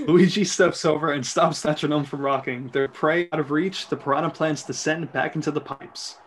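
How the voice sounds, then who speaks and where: A man's voice: a little high, steady tone, moderate pace. A man narrating screenplay stage directions in an amateur group reading.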